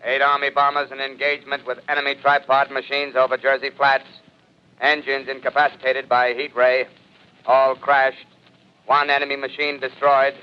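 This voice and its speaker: A man voicing a radio operator reports losses in a dead-flat, smooth monotone in an old-time radio play. The broadcast sound is dull and lo-fi. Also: mid-range voice, normal speed, natural voice. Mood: flat, formal. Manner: monotone, clipped call-sign reporting. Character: male military radio operator. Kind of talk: 1930s radio drama acting.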